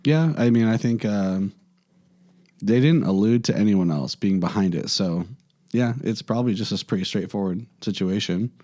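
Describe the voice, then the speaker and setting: A man co-hosting a podcast in casual chat, his voice hoarse and raspy from being worn out. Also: fairly low pitch, moderate pace, dark tone. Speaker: podcast co-host.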